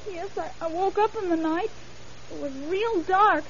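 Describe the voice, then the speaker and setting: Small frightened boy, piping high pitch, plaintive and halting, vintage radio drama, hissy recording. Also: fairly bright tone. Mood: anxious recounting. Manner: plaintive, halting childlike delivery. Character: frightened little boy, pleading and innocent. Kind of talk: 1930s-40s crime radio drama acting. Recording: muffled old radio recording with hiss.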